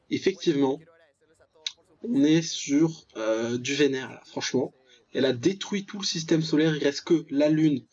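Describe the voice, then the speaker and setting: Husky young Frenchman doing game commentary. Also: on the high side, darkish tone, muffled recording. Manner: exclamatory, mock-dramatic reactions with slangy asides. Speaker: gaming video host. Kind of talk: casual let's play commentary on a visual novel.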